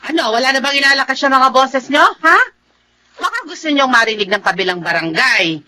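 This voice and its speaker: A stern, weary Filipino mother in a radio drama. Her voice is bright and forceful, with a scolding edge. Faint noise sits behind the recording. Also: sits high, fairly quick. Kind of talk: radio-drama style dialogue.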